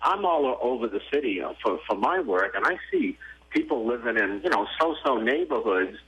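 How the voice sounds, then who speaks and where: Lively-voiced man in radio chat. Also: mid-range voice, brisk, highs cut off. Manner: conversational, skeptical, hesitant with fillers. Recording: AM radio broadcast.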